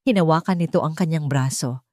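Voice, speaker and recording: Female story narrator: lowish pitch, mellow tone, dramatic scripted read, very dry close recording. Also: fairly quick, dry recording.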